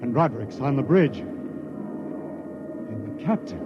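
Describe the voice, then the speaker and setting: An actor playing a distraught, blinded crewman in halting, overwrought 1950s radio drama style. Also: mid-range voice, lively intonation, background noise. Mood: dazed, awed. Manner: halting, repeating, overwrought delivery. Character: distraught blinded crewman, feverishly sure he can see. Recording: dull vintage radio recording with music.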